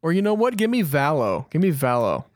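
A man's voice: on the high side, sing-song, brisk, no room sound, studio quality. A man co-hosting a podcast in casual chat, informal and thinking aloud as he talks. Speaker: podcast co-host.

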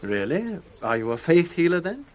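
An actor playing a middle-aged, sceptical gentleman in a radio drama, well-spoken, dry and questioning. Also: fairly high pitch, very animated, moderate pace. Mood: probing, doubtful.

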